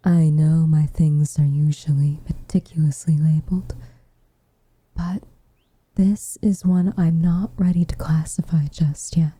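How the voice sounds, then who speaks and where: Woman acting as a guarded tsundere witch, breathy whisper, very dark mellow tone, unhurried formal phrasing, tender, ASMR roleplay. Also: low-pitched, level tone, low-quality recording. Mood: guarded confession. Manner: measured, formal, hesitant phrasing.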